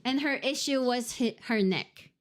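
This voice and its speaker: A woman's voice: a little high, a little slow. A young woman streamer reading a visual novel aloud and reacting expressively with laughs and comments.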